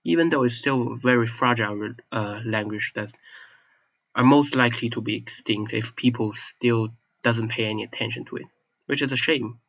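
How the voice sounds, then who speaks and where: A man's voice: medium pitch, moderate pace, creaky, a bit bright. A young man, a native Chinese speaker, giving a casual explanatory monologue in a hesitant, self-correcting way. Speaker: channel host. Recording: slightly dull lo-fi recording.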